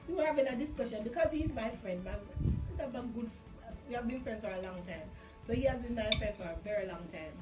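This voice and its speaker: A middle-aged woman with a Caribbean accent telling a personal story in casual chat, emphatic and repeating phrases for effect. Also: on the high side. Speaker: vlog guest sharing personal testimony. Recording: roomy, distant mic, music underneath. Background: music.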